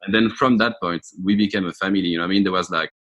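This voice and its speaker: A man's voice: sits low, fairly even intonation, very fast, fairly bright tone, dull audio. A man talking as a musician in a casual interview, telling stories with enthusiasm. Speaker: musician in a band, interview guest.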